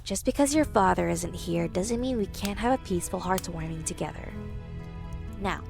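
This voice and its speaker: A woman's voice: on the low side, animated, normal speed, vocal fry, music in the background. A woman playing a stern but loving pony princess mother in an audio drama, composed and firm. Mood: softened.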